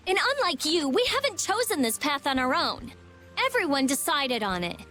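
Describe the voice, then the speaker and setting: Very high, earnest young heroine. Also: female voice, sing-song, normal speed, sharp tone, soft background music, full character voice. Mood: earnest, pleading. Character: earnest, idealistic young heroine, gentle but stubborn. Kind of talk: dramatic video game voice acting.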